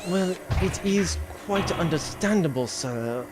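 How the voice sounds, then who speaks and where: A man voicing a polite, consoling subordinate with a measured, formal manner in an audio comedy. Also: a little high, animated, background noise, pitched below the performer's own voice. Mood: calm, consoling.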